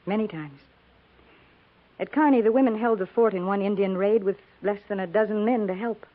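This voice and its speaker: Actress as a practical army wife, tinny radio. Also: female voice, mid-range voice, close to an everyday voice. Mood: grave. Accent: American. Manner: measured, matter-of-fact, with hesitant pauses. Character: practical, seasoned frontier army wife, neighborly but grave. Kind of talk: 1950s American radio drama acting. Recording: tinny, narrow-band 1950s radio recording.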